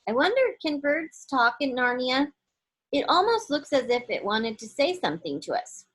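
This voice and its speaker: A woman's voice: high voice, very animated, moderate pace, a bit bright, pitched above the performer's own voice, heavily acted. A woman voicing an earnest, emphatic young girl in a storybook. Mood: excited.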